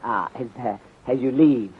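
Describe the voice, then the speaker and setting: An actor playing a shrewd, courteous Chinese detective inspector in a 1930s radio serial, speaking formally and deliberately in clipped phrases that drop small words. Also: male voice, mid-range voice. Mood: polite, instructing. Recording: muffled, husky-sounding 1930s radio recording.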